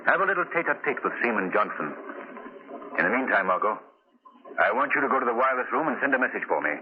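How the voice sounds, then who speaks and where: An actor playing a cool-headed, urbane gentleman sleuth in a 1930s radio drama. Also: mid-range voice, very animated, fast, close to an everyday voice. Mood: calm, measured. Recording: tinny, noisy 1930s radio recording.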